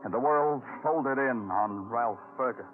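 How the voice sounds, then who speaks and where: A man's voice: medium pitch, unhurried, natural voice. A man as a hardboiled radio detective. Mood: narrating. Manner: clipped, tough, terse. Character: hardboiled male police detective narrator. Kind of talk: 1940s radio acting. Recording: tinny old radio broadcast with music.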